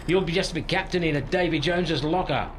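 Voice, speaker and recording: A man playing an anxious harbour pilot in a community radio play, urgent and pleading with hesitant pauses. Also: a little high, slight background noise, close to an everyday voice.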